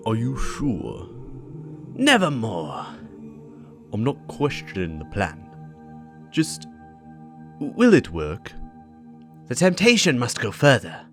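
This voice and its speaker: Man performing dark game conspirator, grave, deliberate, theatrical, highly varied intonation, music underneath. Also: huge pitch swings, heavily acted. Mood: conspiratorial, grave. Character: two grave conspirators, a cold schemer and a doubting recruit. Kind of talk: dramatic reading of video game dialogue.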